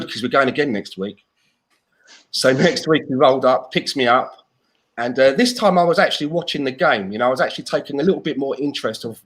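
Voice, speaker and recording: A man's voice: mid-range voice, a bit bright. A man in his fifties from South London, with a South London accent, telling stories in a casual chat as a podcast co-host. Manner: chatty anecdotal storyteller.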